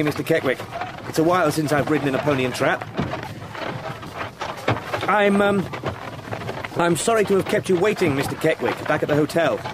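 An actor playing an eager, courteous young London solicitor in a radio drama, chatty and well-spoken with an English accent. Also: male voice, a little high, very noisy, natural voice. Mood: flustered, friendly.

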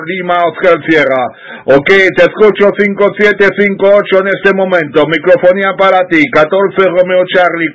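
A man's voice: sits high, a bit bright, highs cut off. A man from France working a citizens band radio, reading out call signs with many hesitant fillers. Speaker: CB radio operator. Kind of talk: radio calling procedure.

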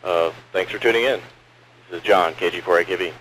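A man's voice: sits low, fairly quick, fairly bright tone. A man calling in casually to a radio net over a two-way radio. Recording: noisy ham radio transmission.

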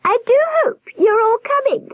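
A woman voicing a huge storybook nurse who speaks in a high, warbling, gushing and falsely sweet voice. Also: normal speed, no room sound. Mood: gushing, insistent. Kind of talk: audiobook character voice.

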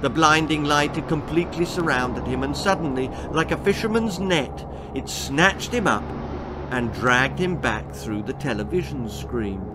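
Animated British man telling a dramatic story. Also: on the high side, noisy background. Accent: British English. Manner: theatrical, deliberate, suspenseful. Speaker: author reading own story. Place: small book-lined room indoors.

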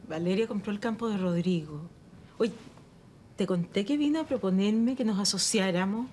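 An actress with a Chilean accent playing a calm, reasoning woman who runs a farm, in a 1990s telenovela. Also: mid-range voice, normal speed, slight background noise, natural voice. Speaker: rancher woman. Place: indoor living room.

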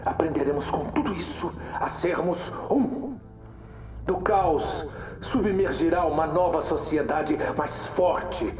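An actor playing a fanatical male revolutionary villain in an audio drama, giving a solemn, menacing speech in a deliberate, grandiose manner. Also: on the high side. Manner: grandiose, deliberate, oratorical, dropping to a whisper at times. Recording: distant, echoey, with loud music.

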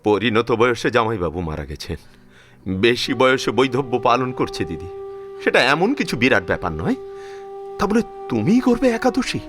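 A man's voice: medium pitch, very animated, moderate pace, fairly bright tone, soft background music. An actor playing a protective, grieving older father-in-law in a Bengali audio drama, speaking emphatically and emotionally with a Bengali accent. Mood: indignant. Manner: emphatic, emotional, repeats phrases.

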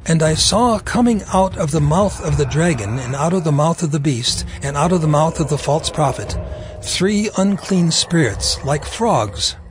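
A man narrating dramatized scripture in a solemn, deliberate manner. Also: on the high side, animated, music in the background.

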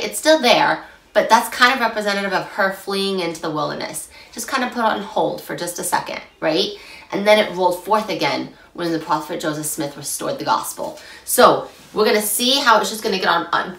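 A young woman with a slightly rough, hoarse voice talks through a scripture lesson in a chatty, animated way. Her voice sits a little low but sounds bright and forceful. It is caught from some distance in an echoey room at home. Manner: chatty and animated, gesturing a lot. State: hoarse after illness. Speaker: scripture study host. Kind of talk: casual scripture lesson to camera.

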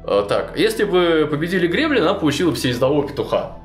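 A young man casually retelling a tabletop game campaign to a co-host, animated and full of fillers and restarts, in a small indoor studio. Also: fairly high pitch, fast talker. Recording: roomy echo, faint music behind.

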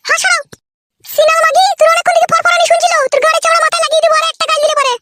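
Defiant second wife, comedy cartoon. Tiny shrieking voice, rapid-fire brazen taunts, faint noise. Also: female voice, very high-pitched, little pitch movement. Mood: defiant, taunting. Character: cheeky, defiant young second wife, sharp-tongued. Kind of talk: comedy cartoon dub, quarrelling.

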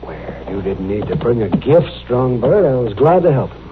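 An actor playing a kindly, modest frontier doctor in a radio western, with a hesitant, folksy way of talking. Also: male voice, mid-range voice, sing-song, moderate pace, lightly acted. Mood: flustered, friendly. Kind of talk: old-time radio western acting. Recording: tinny, noisy old radio recording.

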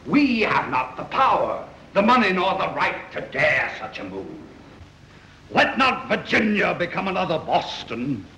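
An actor playing a stern, cautious colonial delegate, orating emphatically in 1930s film style. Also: male voice, high-pitched, sing-song, unhurried, creaky, bright, forceful tone, heavily acted. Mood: emphatic. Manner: emphatic, declamatory. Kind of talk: 1930s film acting, political oratory. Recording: noisy, echoey 1930s film soundtrack.

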